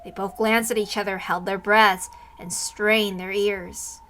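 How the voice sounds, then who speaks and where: Bright-voiced woman reading a story aloud. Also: medium pitch, level tone, a little slow, slight background noise, rough recording. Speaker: story reader. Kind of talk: scripted narration, amateur read-aloud.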